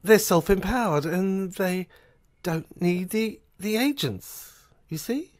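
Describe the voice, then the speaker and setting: A speaker of middling pitch performs in an amateur science fiction audio serial. The delivery is slow and halting, with stammers and repeated phrases as the character earnestly explains. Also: expressive. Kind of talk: amateur audio drama dialogue.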